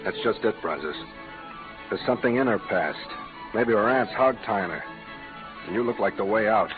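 Man as righteous cowboy, low drawl, concerned and folksy, muffled vintage radio with music. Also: moderate pace, close to an everyday voice. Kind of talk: 1940s-50s radio western acting.

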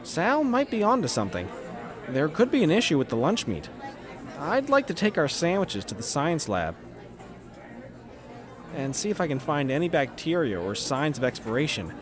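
A man's voice: on the high side, wildly swooping pitch, moderate pace. A man voicing a nerdy, analytical teenage boy with formal, precise speech in a game dub. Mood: studious. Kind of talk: game fandub acting. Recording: cafeteria crowd noise behind.

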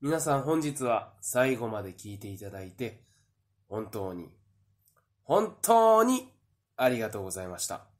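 A man's voice: medium pitch, very animated, normal speed. A man giving a polite, earnest thank-you announcement as the director of a drama troupe. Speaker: troupe director and writer giving closing address.

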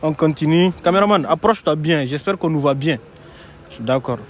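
A young man hosts a casual street interview, his voice fairly high for a man. He banters in an animated way, recorded on a handheld phone in an open plaza with clear background noise. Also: moderate pace. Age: young adult. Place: open paved outdoor plaza. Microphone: handheld phone shared with guest.

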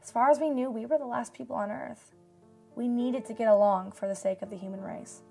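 An actress playing a wistful disaster survivor in a radio drama, recalling the past in a measured, reflective way. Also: female voice, fairly high pitch, fairly even intonation, creaky, darkish tone, soft background music. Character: female asteroid-disaster survivor recalling shelter life, wistful. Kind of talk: radio drama acting as interview testimony.

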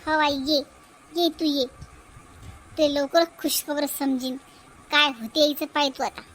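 Defiant devoted daughter and wife, cartoon, shrill high pitch, insistent, Varhadi Marathi accent. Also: female voice, steady tone, unhurried, fairly bright tone, a little hiss or hum. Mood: resolute. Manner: insistent, repeats phrases. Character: fiery, defiant young wife devoted to her mother. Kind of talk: cartoon family drama voice acting.

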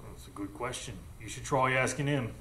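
A man plays a condescending police inspector in a radio play. He is offhand and defensive, with a medium-pitched voice in an echoey space. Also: normal speed, sharp tone, lightly acted. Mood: dismissive. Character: gruff, defensive, dismissive male police inspector. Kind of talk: live radio drama acting. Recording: roomy live stage, faint noise.

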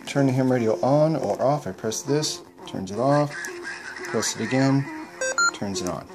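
A man's voice: medium pitch, unhurried, rough recording. A man casually explaining his home-built gear in a plain, matter-of-fact way, recorded indoors at home. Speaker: paraglider showing his gear. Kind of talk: casual product demo.

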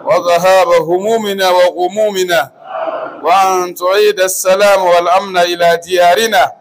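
Imam type, middle-aged man from Burkina Faso, high steady chant called out to a big hall full of worshippers. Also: normal speed. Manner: intoned, calling out loudly over the congregation. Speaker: imam leading prayer. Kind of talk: chanted supplication in prayer. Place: large mosque hall, indoors, congregation standing.

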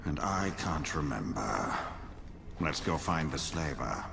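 An actor playing a grim, brooding wraith in a video game, speaking in a solemn, ominous manner with a creaky voice. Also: male voice, sing-song, slow, full character voice. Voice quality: very deep, creaky. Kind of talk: video game character acting. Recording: noisy ambient sound behind.

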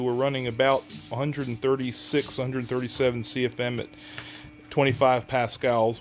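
A man in his forties, an HVAC contractor, explaining a test in a practical, plainspoken way inside a house under construction, filmed on a handheld phone. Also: mid-range voice. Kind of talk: instructional job-site vlog.

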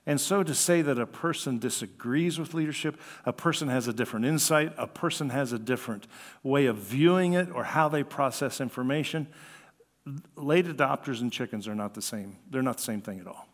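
An older American man preaching a conversational sermon, with an animated delivery that acts out quoted remarks. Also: medium pitch, fairly quick. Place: church sanctuary at a lectern, indoors. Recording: reverberant hall.

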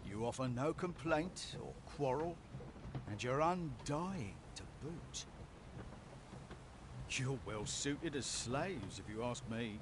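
A grumbling fantasy-game cart driver, mercenary and sardonic. His delivery is slow but swings widely in pitch, with old-fashioned turns of phrase. Clear ambient rumble runs behind him. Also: animated. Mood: sardonic, callous. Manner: muttering, archaic phrasing, sardonic. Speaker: non-player character in a fantasy video game. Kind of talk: fantasy video game acting.

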